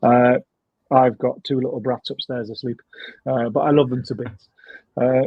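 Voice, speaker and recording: A Geordie man with a husky voice is co-hosting a casual football chat. He is chatty and enthusiastic. His voice sounds dry and a little dull, as if coming over a lo-fi call. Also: medium pitch, steady tone, dry recording. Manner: chatty, enthusiastic, quick to laugh. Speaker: podcast co-host.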